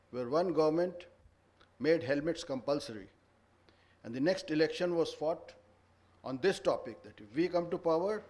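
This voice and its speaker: A man's voice: fairly high pitch, a little mellow. A man giving a formal address at a conference, earnest and lecturing, stressing each point. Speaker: conference speaker. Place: conference hall.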